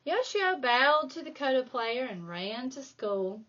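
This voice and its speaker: Slow, expressive woman reading a storybook. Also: high-pitched, muffled recording, slight background noise. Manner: dramatic emphasis, drawn-out key words. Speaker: primary school staff member reading aloud. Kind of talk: expressive children's storybook narration.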